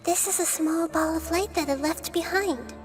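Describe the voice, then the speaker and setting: A woman voicing a small childlike goddess of nature in a video game, earnest and polite, with a high girlish voice. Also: normal speed. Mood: tender. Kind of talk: scripted video game dialogue. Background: music.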